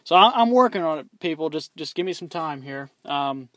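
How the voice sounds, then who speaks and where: Young man, fairly high voice, casual CB radio review, rambling, lots of ums. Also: normal speed, no echo. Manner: relaxed, rambling, many ums and restarts. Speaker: YouTuber reviewing his CB radio. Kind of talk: casual product review vlog.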